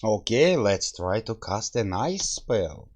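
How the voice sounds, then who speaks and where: A husky-voiced man comments casually on a game he is reviewing. His speech is slow and comes in short thinking-aloud reactions, with very wide swings in pitch. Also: sing-song.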